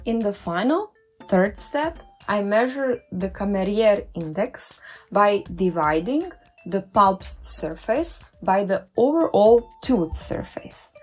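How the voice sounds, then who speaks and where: A woman narrates a tutorial at a medium pitch, upbeat and careful as she walks through each step. Faint music plays softly behind her voice. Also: lively intonation, slow, husky. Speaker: dentist and doctoral researcher.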